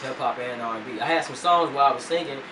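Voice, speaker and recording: Laid-back young rapper, roomy distant mic. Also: male voice, mid-range voice, quick pace, noisy background. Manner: laid-back, loose slang with "man" fillers. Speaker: rapper guest. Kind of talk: casual interview chat. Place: radio studio.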